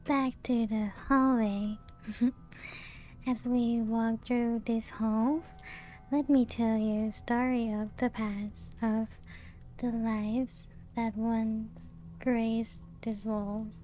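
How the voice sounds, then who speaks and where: Slow, soft-spoken vampire lady, acted by a woman. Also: on the high side, fairly even intonation. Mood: wistful, playful. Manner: slow, hushed, often breaking into whispers and soft chuckles. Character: playful, teasing female vampire showing guests her ancient castle. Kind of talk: soft-spoken ASMR roleplay. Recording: music playing behind the voice. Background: background music.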